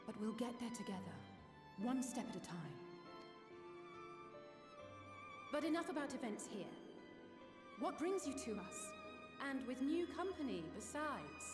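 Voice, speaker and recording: A spirited young female adventurer from a fantasy game, with a fairly high voice. She sounds frank, brisk and determined, in a reverberant space with faint music behind. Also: big pitch swings. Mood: determined, hopeful. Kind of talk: video game voice acting.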